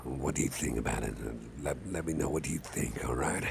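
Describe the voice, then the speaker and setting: A man playing a caring, affable boss in an ASMR roleplay, talking softly in a gentle, hesitant, chatty way with a gravelly voice. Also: a little fast, heavily acted. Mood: concerned, tender. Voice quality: deep, gravelly, breathy at times. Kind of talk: ASMR roleplay, soft close personal talk. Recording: faint background noise.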